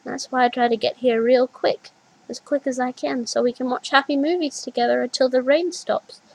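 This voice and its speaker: A woman's voice: sits high, steady tone, normal speed, creaky, a little mellow, pitched above the performer's own voice, poor audio. Woman reading, putting on a small earnest toddler girl: raised, childlike, simple words. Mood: soft.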